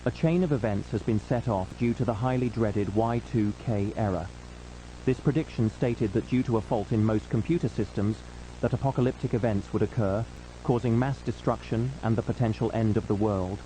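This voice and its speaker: A man's voice: lowish voice, moderate pace, mellow, a little hiss or hum, rough recording. A man reading a scripted emergency news announcement in a formal, solemn manner as a broadcast announcer.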